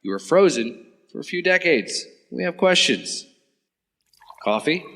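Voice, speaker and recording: An actor playing a sarcastic, flustered young government agent in an audio drama. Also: male voice, fairly high pitch, very animated, unhurried, fairly bright tone, big-room sound.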